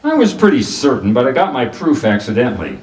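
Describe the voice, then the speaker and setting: A wry, hardboiled private detective played by a male actor in an old-style radio play, self-assured and swinging widely in pitch. The sound is roomy and a little away from the microphone. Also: big pitch swings, quick pace, a little mellow, lightly acted. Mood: explaining calmly. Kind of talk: 1940s radio detective drama acting with first-person narration. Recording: roomy echo, distant from the microphone.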